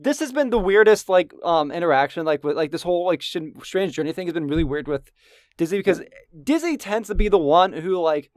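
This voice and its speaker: A man's voice: sits high, lively intonation, brisk, no room sound, studio quality. A man co-hosting a gaming podcast, teasing his friends and rambling with lots of fillers. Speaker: podcast co-host. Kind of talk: casual gaming podcast banter.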